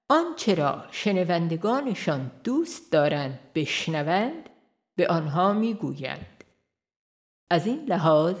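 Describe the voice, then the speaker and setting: A woman reading an audiobook aloud in a calm, measured way, pausing gently between phrases. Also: lowish voice. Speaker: audiobook narrator. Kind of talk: scripted audiobook narration. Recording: faint music behind the voice. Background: soft background music.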